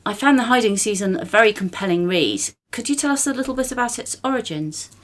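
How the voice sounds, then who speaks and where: A woman's voice: fairly high pitch, expressive, fast talker. A woman hosting a book podcast, reading a scripted introduction and putting questions to a guest. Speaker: podcast host.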